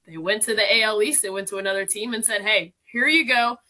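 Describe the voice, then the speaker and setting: A woman's voice: mid-range voice, fast talker, bright, forceful tone. A woman in her twenties giving conversational sports analysis as a radio guest, explaining things emphatically and informally. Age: 20s.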